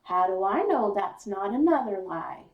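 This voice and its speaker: A woman's voice: medium pitch, lively intonation, measured pace, dull audio, close to an everyday voice. A woman voicing a stern, plain-spoken father who lectures his son in folksy rural phrasing, for a children's story. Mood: accusing. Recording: roomy echo, distant mic.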